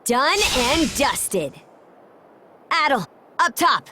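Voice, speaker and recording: A brash young seafaring warrior woman with a high, very lively voice. She talks in cocky, breezy slang, like a confident game heroine, over audible background ambience. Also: extremely slow, noisy background, exaggerated voice. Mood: upbeat, confident. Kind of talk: video game cutscene acting.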